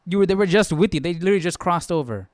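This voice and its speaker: A man with a smooth, clear voice talks casually as the host of a study session. His pitch sits a little high, and the recording is close and dry. He thinks aloud conversationally, often repeating phrases as he questions things. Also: animated, racing, darkish tone, dry recording. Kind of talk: casual off-the-cuff commentary.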